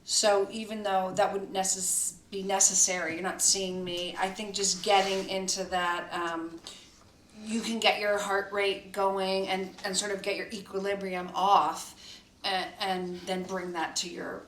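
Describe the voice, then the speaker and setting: A woman's voice: medium pitch, little pitch movement, unhurried, a bit bright. A female voice actor explaining her acting method thoughtfully, starting her answers hesitantly, in a casual cast chat. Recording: roomy sound, mic at a distance, faint room noise.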